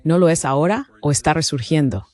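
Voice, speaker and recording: A man's voice: a little high, a little fast, a little mellow. A middle-aged man putting an earnest question to a panel as an audience member.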